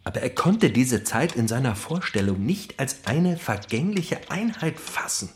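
A man's voice: mid-range voice, big pitch swings, unhurried, slight background noise. An actor playing a brooding, intense male narrator of a prisoner's inner life in a literary radio drama. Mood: brooding, hushed.

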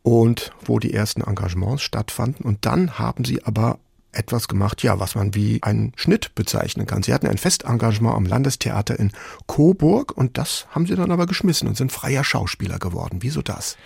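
A man with a slightly raspy, creaky voice, hosting a conversational radio interview. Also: mid-range voice, expressive, a little fast, darkish tone, dry recording, clean studio sound. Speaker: radio talk show host. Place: dry radio studio.

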